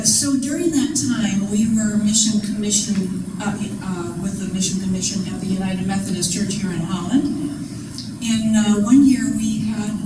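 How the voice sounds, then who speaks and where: An older woman, a former missionary, telling her story in a chatty, self-deprecating way at a public talk. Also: mid-range voice. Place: museum event hall. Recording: echoey room from far off, loud noise.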